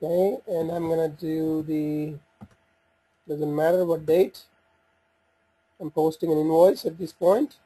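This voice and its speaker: A man giving an unscripted software walkthrough, talking through each step in a halting way as he thinks aloud. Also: fairly high pitch, fairly even intonation, slightly rough, poor audio. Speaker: software demo presenter.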